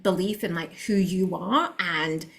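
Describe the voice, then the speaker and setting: Podcast guest: woman, London accent, low-ish voice, earnest and motivational. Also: a little slow, gravelly, fairly bright tone. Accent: London English. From London, England. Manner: earnest and motivational, with a few laughs. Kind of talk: casual interview chat.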